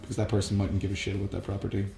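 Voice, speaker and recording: A man's voice: lowish voice, monotone, racing, vocal fry, dark tone. Male co-host, casual film banter, voices imagined quotes; roomy echo, faint music underneath. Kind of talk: casual banter about films.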